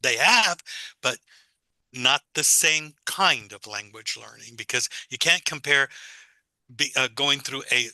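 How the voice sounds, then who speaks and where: A man hosting an online teacher-development panel, talking casually over a video call with many pauses and fillers. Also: medium pitch, expressive, bright, forceful tone, no echo, poor audio.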